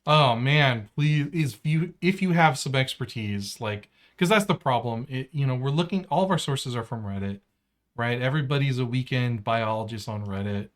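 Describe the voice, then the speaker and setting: A man's voice: medium pitch, lively intonation, moderate pace. A man with an American accent co-hosting a podcast in casual, slangy banter peppered with "like" and "man". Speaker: podcast co-host.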